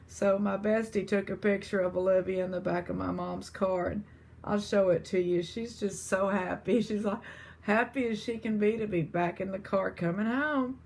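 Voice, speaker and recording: A woman's voice: medium pitch, steady tone, normal speed, vocal fry, darkish tone, husky. A woman with an East Texas accent chatting casually to her viewers as an online seller. She rambles, with lots of fillers and restarts. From East Texas, USA.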